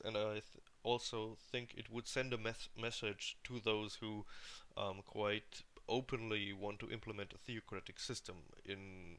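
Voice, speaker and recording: A man's voice: fairly low pitch, a bit bright, clean tone. A man speaking off the cuff in an earnest opinion monologue, hesitating often and restarting as he searches for words. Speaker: online commentator giving a video response.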